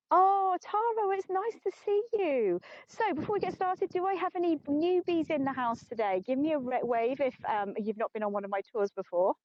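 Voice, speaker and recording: A woman's voice: high voice, animated, moderate pace, no room sound. A middle-aged English woman guiding a live walking tour outdoors, chatty and self-mocking, laughing often and sounding tired. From England. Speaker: tour guide. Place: outdoors on an open plateau. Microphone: phone. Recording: dull lo-fi phone stream.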